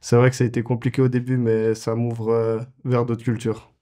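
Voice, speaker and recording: A man's voice: medium pitch, completely flat delivery, brisk, mellow. A man in his twenties, a goalkeeper answering interview questions casually, with many fillers and restarts. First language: French. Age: 20s.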